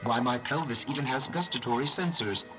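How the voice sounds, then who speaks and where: A man's voice: medium pitch, a little fast, music in the background, natural voice. A man voicing an ancient, earnest clockwork automaton in a video game, with a grandiose, theatrical manner. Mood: dreamy, proud. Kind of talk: AI-voiced video game dialogue.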